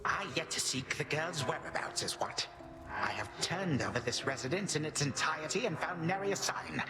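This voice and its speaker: An actor playing an ancient, bitter Titan grandfather in a video game, with a breathy hiss and grandiose, archaic, sardonic delivery. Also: a little high, lively intonation, normal speed. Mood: dry, peevish. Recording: loud music behind, echoing room. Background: loud game music.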